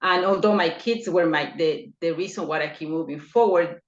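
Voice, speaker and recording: Low-voiced middle-aged woman from Ecuador. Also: normal speed. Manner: hesitant, with many fillers and restarts. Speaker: podcast guest. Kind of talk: personal interview. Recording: echoey, dull lo-fi remote line.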